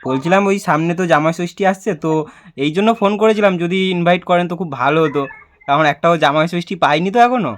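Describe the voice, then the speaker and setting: Fast-talking young man, teasing prank caller. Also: fairly high pitch, a bit bright. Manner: playful, persistent, pleading. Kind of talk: casual teasing phone chat.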